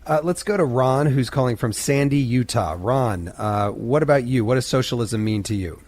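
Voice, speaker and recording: A man hosts a call-in radio show. He steers the talk briskly, moving from callers to guests. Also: mid-range voice, a little mellow. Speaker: radio host.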